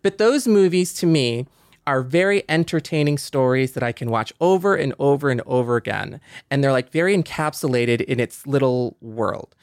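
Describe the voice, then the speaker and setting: A man's voice: medium pitch, expressive, moderate pace, no echo, clean studio sound. A man hosting a podcast, talking enthusiastically with lots of fillers. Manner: enthusiastic, many fillers like "like" and "you know". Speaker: podcast host. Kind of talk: casual conversation.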